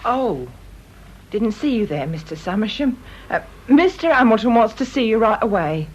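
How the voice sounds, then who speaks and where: An actress playing a cheeky, irreverent young office secretary in a radio drama, with a British accent. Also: female voice, a little high, very animated, normal speed, slightly rough. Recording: dull, slightly noisy radio play recording.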